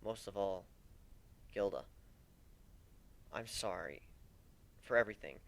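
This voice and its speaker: A man's voice: fairly low pitch, expressive, slow, creaky, fairly bright tone, pitched below the performer's own voice, heavily acted. A man voicing a wounded, vengeful but fragile male griffin warrior in a theatrical dramatic story reading. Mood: tender, sorrowful. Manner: intense, theatrical, swinging into brooding.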